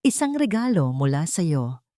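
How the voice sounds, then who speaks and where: A woman's voice: sits low, big pitch swings, normal speed, dark tone, no echo, studio quality. A woman voicing a haughty, scornful, rich older mother-in-law in a grand, mocking manner for an audio story.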